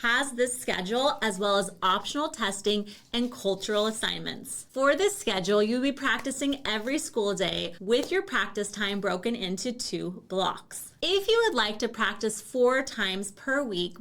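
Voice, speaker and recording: A woman presenting a scripted explainer as a company representative, speaking in a clear, instructional way straight to the listener. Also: medium pitch, expressive, normal speed, hardly any pauses, fairly bright tone. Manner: clear, instructional, addressing the viewer directly.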